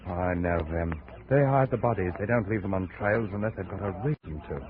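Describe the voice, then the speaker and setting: A man acting a seasoned, hard jungle guide with a gruff, domineering manner in a 1950s radio drama. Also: deep voice, fairly quick, lightly acted. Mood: grim, knowing. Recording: scratchy, narrow-band 1950s radio recording.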